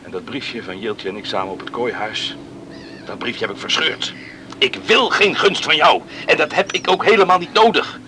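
An actor playing an earnest, proud younger brother in a radio play, speaking with insistent emphasis. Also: male voice, mid-range voice, moderate pace, bright, forceful tone, a little hiss or hum, natural voice. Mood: proud, hurt. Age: young adult.

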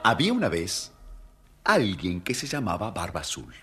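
Guy narrating a kids fairy tale, low voice, expressive storyteller. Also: normal speed, soft background music.

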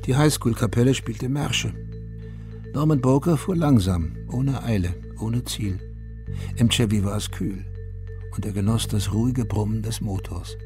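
An actor as the narrator of a melancholy radio drama, reading literary prose in a measured, wistful, gravelly way. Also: male voice, on the low side. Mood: melancholy, detached. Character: male third-person narrator of a melancholy war story. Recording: music behind the narration. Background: music.

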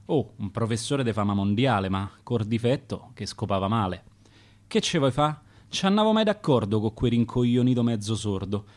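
A man with a Roman accent voicing a sharp-tongued woman who grumbles about her husband, in dialect verse for a radio play. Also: lowish voice, lively intonation, normal speed, close to an everyday voice. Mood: grumbling.

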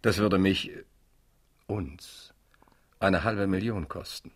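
A man's voice: deep voice, takes it slowly, husky, full character voice. A man playing a vain, charming young aristocrat in a period radio drama, suave and mannered in his gallantry. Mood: evasive. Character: vain, charming young French marquis, pleasure-loving.

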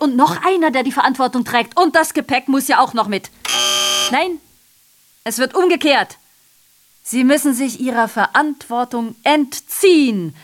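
A woman acting as a female messenger in a radio play, her voice on the high side. She declaims a literary monologue deliberately, with biting irony. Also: lightly acted.

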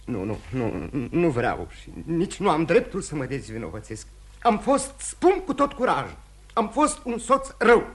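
A guilty gentleman husband in an old radio play, highish husky voice, theatrical and halting. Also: wildly swooping pitch, exaggerated voice. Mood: remorseful, agitated. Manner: theatrical, impassioned, halting with repetitions. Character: dissolute gentleman husband, remorseful, candid, courteous. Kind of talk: mid-century Romanian radio theatre acting.

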